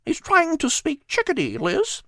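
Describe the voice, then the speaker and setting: A woman's voice: sits high, huge pitch swings, unhurried, no echo, clean studio sound, voice pushed up, heavily acted. A woman voicing a small, chirpy female chickadee in a storybook. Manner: bright and chirpy. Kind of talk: storybook reading.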